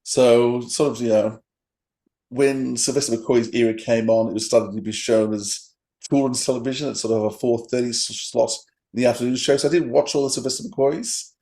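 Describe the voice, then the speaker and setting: A man's voice: medium pitch, fairly even intonation, normal speed, dark tone. A man co-hosting a fan podcast, presenting facts and chatting. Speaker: podcast co-host. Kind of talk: trivia read-out and fan chat.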